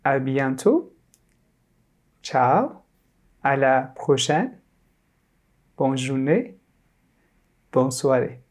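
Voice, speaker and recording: A teacher in their thirties from Turkey, leading a repeat-after-me lesson and saying each word clearly, then again. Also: medium pitch, big pitch swings, drawn-out words, pauses often. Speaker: French teacher.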